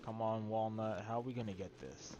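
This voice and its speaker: A man's voice: fairly low pitch, steady tone, a little fast, lo-fi sound, background noise. A man casually commenting as he streams a video game, with wry, laid-back asides. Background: video game audio.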